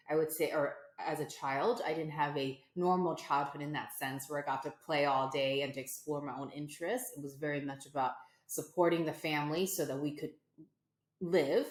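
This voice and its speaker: A woman's voice: deep voice, echoey room. A woman in her forties, a guest entrepreneur, talking reflectively in an interview with frequent fillers and restarts. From United States. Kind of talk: conversational interview.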